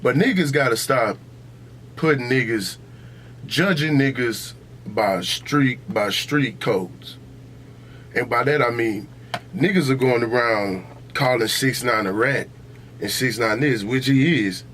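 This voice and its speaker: A man's voice: mid-range voice, slow pace, fairly bright tone. An American man with a mid-range voice hosts a casual, opinionated podcast. He speaks emphatically, repeating phrases and leaning on slang and profanity. There is some noise behind him. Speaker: podcast host.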